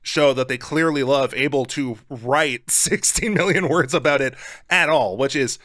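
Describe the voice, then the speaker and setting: Bright, forceful man co-hosting a podcast. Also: mid-range voice, moderate pace, no room sound, studio quality. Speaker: podcast co-host. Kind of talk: casual chat.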